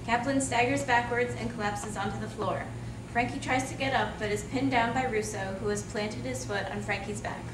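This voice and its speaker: A woman's voice: a little high, fairly even intonation, moderate pace. A woman reading scripted stage directions as a narrator. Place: indoor room, live staged reading. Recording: echoey room, distant mic, clear noise.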